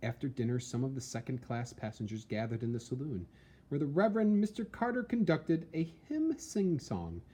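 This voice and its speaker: A man reading a historical account as dramatic scripted narration, in a measured, theatrical storytelling manner. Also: mid-range voice, very animated, mellow, breathy edge. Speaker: reader, narrator.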